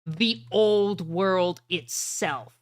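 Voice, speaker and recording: A woman's voice: on the low side, very slow, no room sound. A woman voicing the summoned ghost of a dead man, a grave and portentous prophet of doom in a tabletop game. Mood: grave, foreboding.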